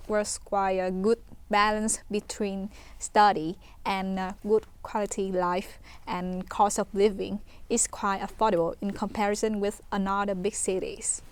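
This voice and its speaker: A young woman with a smooth, clear voice speaks on a student panel. She answers in a careful, measured way. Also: mid-range voice, fairly even intonation. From Vietnam. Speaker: international postgraduate student panelist.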